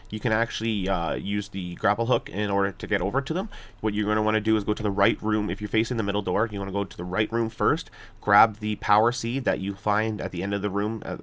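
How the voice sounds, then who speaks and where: Low-voiced man giving a game walkthrough. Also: fairly bright tone. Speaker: gaming guide host. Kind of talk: unscripted video game walkthrough commentary.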